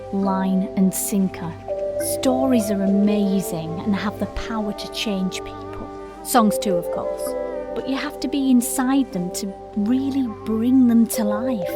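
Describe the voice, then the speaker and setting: Audio drama, female role for an actress: eager, sincere believer, higher voice, expressive, strong music bed. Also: natural voice. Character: Molly, eager open-hearted woman, game for folk magic. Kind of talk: audio drama monologue and dialogue. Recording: music under the voice.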